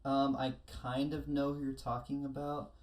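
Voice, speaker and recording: A podcast co-host explains things in a relaxed, conversational style. The delivery is careful and a little tentative, feeling out the details while going along. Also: mid-range voice, moderate pace. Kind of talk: casual explanatory chat.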